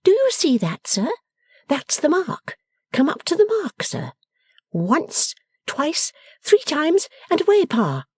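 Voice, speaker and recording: A woman voicing an affectionate, resolute young woman in a storybook, brisk and coaxing. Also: high-pitched, wildly swooping pitch, darkish tone, dry recording, clean studio sound, voice pushed up, exaggerated voice. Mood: playful, urging.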